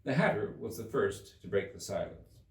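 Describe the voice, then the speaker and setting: A man reading a children's storybook aloud as a narrator, in an expressive scripted style. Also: on the low side, moderate pace. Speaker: audiobook narrator. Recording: roomy, slightly distant microphone.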